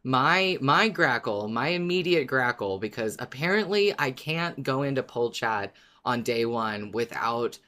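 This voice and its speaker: Chatty podcast co-host, mid-low androgynous voice. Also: expressive, measured pace, pushed and bright. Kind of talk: casual fan podcast discussion.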